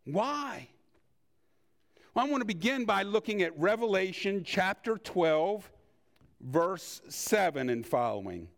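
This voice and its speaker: An older man preaching in a conversational, storytelling way, with rhetorical questions and deliberate pauses. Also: sits high, big pitch swings, vocal fry. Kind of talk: sermon with personal anecdotes.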